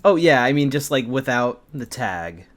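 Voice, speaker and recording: Lively man reading and joking casually. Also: medium pitch, moderate pace, slight background noise. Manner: amused, joking. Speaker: host reading fan fiction aloud. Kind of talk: amateur story narration and casual banter.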